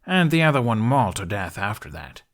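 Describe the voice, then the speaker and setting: A man's voice: fairly low pitch, big pitch swings, fairly quick. A man playing a sensible, sarcastic young prince in a storybook with a wry, reasonable manner. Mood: wry, concerned.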